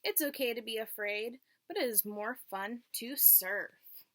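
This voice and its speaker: Lady teacher reading a kids book, slow n clear phrases, kinda high voice, asks the kids stuff. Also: fairly bright tone. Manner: simple short phrasing, checks in with listeners. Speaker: teacher reading aloud to young children. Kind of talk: picture-book read-aloud with questions to children.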